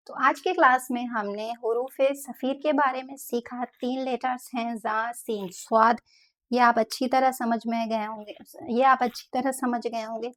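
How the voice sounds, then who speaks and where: Soft-spoken woman teaching letter sounds. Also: fairly high pitch. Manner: slow, careful demonstration of letter sounds with repetition. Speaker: Quran pronunciation teacher. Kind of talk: step-by-step tajweed lesson.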